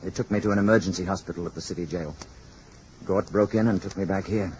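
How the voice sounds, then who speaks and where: An actor playing a grave, commanding alien emissary who speaks in a measured, formal way in a 1950s radio drama. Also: male voice, sits low, steady tone, a little mellow, close to an everyday voice. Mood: quiet, resolute. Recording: muffled, noisy vintage radio recording.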